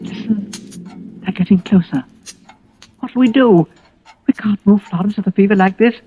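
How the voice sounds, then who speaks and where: A woman's voice: mid-range voice, a little slow, warm tone, lightly acted. A woman playing a protective, fretful grandmother in an old-time radio drama, tender and dramatic. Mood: worried, tender. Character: anxious, protective grandmother, loving but frantic. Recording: dull, noisy old radio recording.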